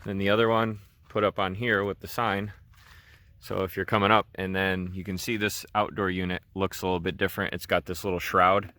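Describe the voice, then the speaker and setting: A man's voice: deep voice, level tone, brisk, bright, forceful tone. A man hosting an informal product review, talking in a casual, conversational way.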